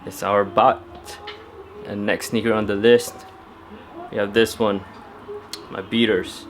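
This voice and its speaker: A man's voice: lowish voice, little pitch movement, slow, a little hiss or hum. A young man talking casually to camera at home about his sneakers, chatty and laid-back with lots of fillers. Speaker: sneaker vlogger. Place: at home indoors.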